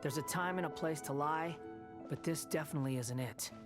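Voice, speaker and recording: A man's voice: fairly high pitch, fairly quick, lightly acted. A man voicing a smug, aloof young wanderer in a video game, curt and sardonic. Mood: smug, condescending. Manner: curt, condescending, cool. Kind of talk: animated video game voice acting. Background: music.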